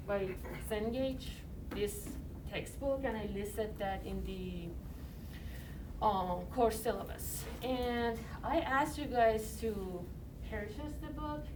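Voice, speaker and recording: A woman from Iran with an Iranian accent, giving a chemistry lecture in a university classroom in a loose, conversational way full of "you know" and "like". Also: medium pitch. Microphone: iPad recording from a distance. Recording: distant mic, noisy classroom.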